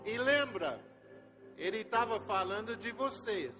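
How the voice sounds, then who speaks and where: Older man, non-native speaker, missionary testimony, unhurried storytelling, faint music behind. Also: high-pitched, animated, sharp tone. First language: not Portuguese. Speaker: missionary leader. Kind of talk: testimony sermon to a congregation. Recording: long hall reverb with faint music.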